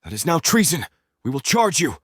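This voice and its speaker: A man's voice: fairly high pitch, sing-song, normal speed, heavy background noise. Man acting a pompous, menacing owl politician. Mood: angry. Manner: pompous, condescending. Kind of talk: audio play acting. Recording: music behind the voice.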